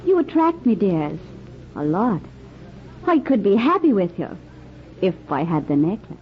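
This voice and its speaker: A manipulative, coquettish tavern woman in a vintage radio play. She teases and coaxes with very animated, mid-pitched delivery. The recording is dull. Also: measured pace, a little hiss or hum. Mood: seductive, bargaining. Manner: teasing, coy. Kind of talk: old time radio drama acting. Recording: muffled old radio recording.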